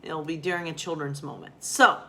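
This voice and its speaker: A woman's voice: low-pitched, fairly even intonation, fairly quick, bright, forceful tone. A woman pastor speaking informally and a bit ramblingly to her listeners as friends, chatting and reading children's bedtime stories. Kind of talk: casual chat and storybook reading for children.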